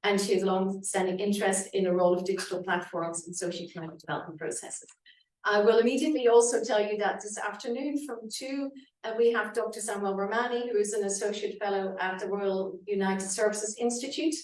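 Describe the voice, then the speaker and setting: A woman chairing a conference, introducing the speakers with many pauses and fillers. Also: mid-range voice, darkish tone, recorded from a distance. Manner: halting, many fillers, reads bios. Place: conference hall. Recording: echoey hall from afar.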